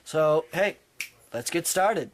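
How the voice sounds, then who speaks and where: A man's voice: a little high, expressive, slow pace, slight background noise. A young man chatting casually as he vlogs a convention trip on a handheld camera. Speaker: vlogger filming a convention trip. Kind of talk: casual vlog chat. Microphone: handheld camera mic.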